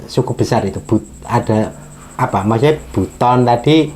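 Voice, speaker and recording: A man's voice: medium pitch, moderate pace, a little mellow, slight background noise. A male podcast host in casual chat, asking curious follow-up questions and giving frequent short acknowledgements.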